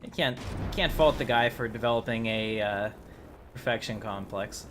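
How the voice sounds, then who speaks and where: A man's voice: on the low side, moderate pace. A man giving casual, chatty livestream commentary while playing a video game, with some faint background noise.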